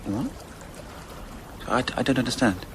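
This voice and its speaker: A man acting in a radio drama as an earnest, protective gentleman suitor, anxious and emphatic in manner. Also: medium pitch, animated, measured pace, a little hiss or hum. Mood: puzzled, devoted.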